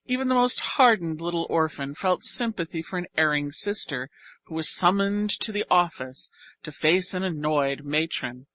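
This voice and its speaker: A woman reading scripted audiobook fiction as narrator, with expressive, deliberate phrasing. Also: on the low side.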